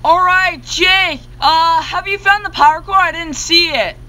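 A man's voice: very high voice, measured pace, sharp tone, heavily acted. A young man playing a casual, exclamatory hero in an amateur Minecraft roleplay movie. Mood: bold. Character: young male hero leading a zombie-fighting mission.

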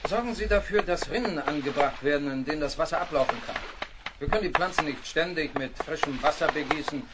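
An actor playing a stern, fault-finding naval captain in a radio play, clipped, commanding and condescending. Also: male voice, fairly high pitch, a little fast, very noisy, natural voice. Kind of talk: German radio play acting.

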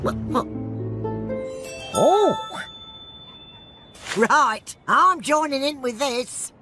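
A woman voicing a bossy, grumpy, stout old landlady in an animated comedy, with theatrical exclamations. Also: high-pitched, drawn-out words, music in the background, exaggerated voice. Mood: indignant.

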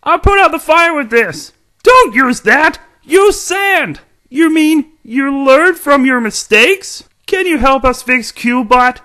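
A man voicing a dim-witted, excitable cartoon robot sidekick, with a goofy, eager, exclamatory delivery. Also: very high-pitched, takes it slowly, heavily acted. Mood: earnest. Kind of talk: cartoon character voice demo.

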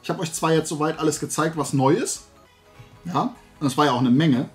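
Male YouTube host, forties, slightly high voice, casual rambling delivery with emphatic phrasing, music behind. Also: fairly quick. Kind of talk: casual chat to camera, product haul. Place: indoors, small collection room lined with shelves.

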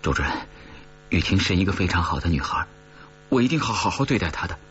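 A man's voice: deep voice, very animated, quick pace, fairly bright tone. A man voicing a nervous young boyfriend, stammering and earnest as he explains himself deferentially in an audiobook. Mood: earnest pleading. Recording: dull recording with faint hum.

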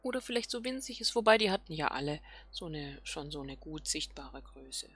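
A woman giving casual game commentary, musing softly and half whispering to herself. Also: low-pitched, sing-song, brisk, slightly rough. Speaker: let's player.

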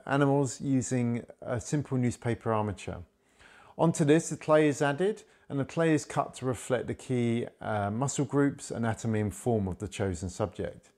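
A man's voice: medium pitch, a little mellow. A man in his thirties from the UK, enthusiastically introducing his art demo while seated in his studio, speaking into a lapel mic. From United Kingdom. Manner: upbeat and enthusiastic. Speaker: wildlife artist. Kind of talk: promotional self-introduction. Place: studio room with shelves of animal figures.

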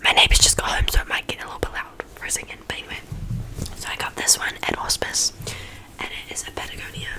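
Young New Zealand woman whispering ASMR. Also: quick pace. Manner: rambling, chatty whisper with many ums. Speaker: ASMR creator. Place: small bedroom, indoors. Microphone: studio mic on stand, close up.

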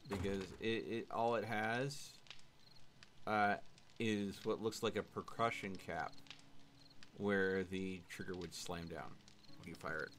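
A man in his thirties chatting casually as he streams a game from home, explaining things in a measured, dry way. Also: low voice, steady tone, a bit bright, slight background noise. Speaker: livestream gamer and host. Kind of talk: casual gaming chat with explanations.

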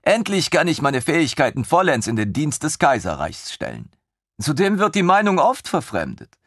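A man voicing an eager, excitable male lieutenant in an audiobook, talking in breathless, gushing questions. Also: on the high side, normal speed, husky, dry recording, studio quality, voice pushed up, heavily acted. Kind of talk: audiobook reading.

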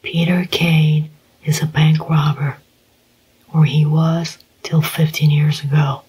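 A reader whispering the part of a cold, sneering young husband in a storybook, gruff and slow. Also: medium pitch, level tone, a little mellow, rough recording.

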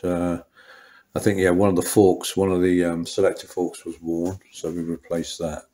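A middle-aged man with a low, deep voice, talking unscripted in a small workshop. He rambles through the work, restarting sentences and filling pauses with ums and uhs. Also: little pitch movement, dark tone. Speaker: motorcycle mechanic. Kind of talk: workshop walkthrough talk.

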